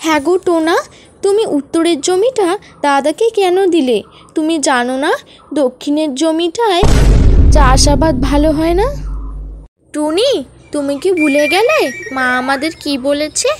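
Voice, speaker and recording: A woman's voice: sits high, animated, a little slow, pauses often, slight background noise. A woman voicing a young, earnest little bird in a cartoon moral story, with an emotive, pleading manner. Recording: music behind the voice. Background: music.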